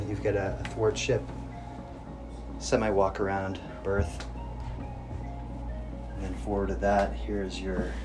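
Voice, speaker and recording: A man giving a casual walkthrough tour of a catamaran, matter-of-fact with frequent pauses, recorded on a handheld camera at a boat show. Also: lowish voice. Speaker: yacht broker giving a tour. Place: aboard a catamaran at a boat show, inside cabins and on deck.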